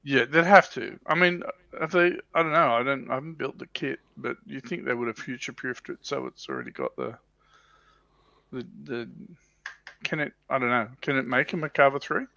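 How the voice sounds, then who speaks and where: A man's voice: mid-range voice, sing-song, moderate pace, creaky, sharp tone, no room sound. A man co-hosting a casual livestream chat, tentative and thinking aloud, on a slightly dull, dry recording. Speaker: livestream co-host on tabletop wargaming show.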